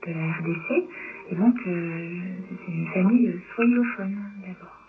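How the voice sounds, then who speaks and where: Tinny noisy shortwave radio, woman being interviewed, thoughtful, stops and starts a lot. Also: fairly low pitch. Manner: reflective, halting, pausing between phrases. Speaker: interviewed writer.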